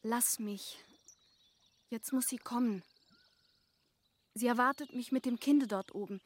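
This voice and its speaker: A woman's voice: on the high side, unhurried. An actress playing a devoted, self-denying young woman in a German radio play, earnest and pleading in formal literary speech. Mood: urgent pleading.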